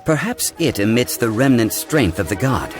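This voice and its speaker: An actor playing a calm, learned, courteous gentleman in a video game, explaining things in a measured, formal way. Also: medium pitch, over music, lightly acted. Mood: composed, scholarly.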